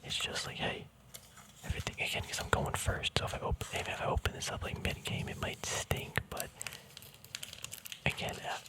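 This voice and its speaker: A man speaking in a soft, unvoiced whisper, relaxed and chatty, hosting a casual ASMR walkthrough. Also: moderate pace, poor audio. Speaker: ASMR video host.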